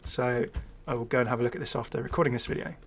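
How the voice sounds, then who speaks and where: A man's voice: mid-range voice, fairly even intonation, rapid-fire. Chatty man casually commentating on a game. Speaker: gaming video host.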